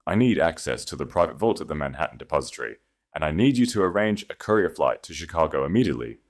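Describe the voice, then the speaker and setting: A man's voice: rumbling low, sing-song, fast, exaggerated voice. Restrained, proud wife voiced by a man. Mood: cold, commanding. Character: wounded wife turned cold, commanding heiress.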